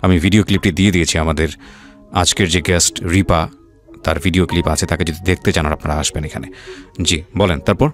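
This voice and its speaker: Deep-voiced, fast Bangladeshi radio host. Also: male voice, fairly even intonation, over music. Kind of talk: radio interview hosting, addressing listeners.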